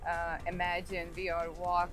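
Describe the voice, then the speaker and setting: A woman's voice: on the low side, monotone, a little slow, music in the background. A painter from Hungary speaks English with a Hungarian accent, describing her work hesitantly with many ums and uhs. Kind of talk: artist talk about her painting.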